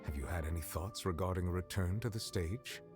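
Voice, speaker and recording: An actor playing a formal, courteous official of high rank in a video game, speaking in a measured, polite and reserved way. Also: male voice, natural voice. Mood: encouraging. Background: music.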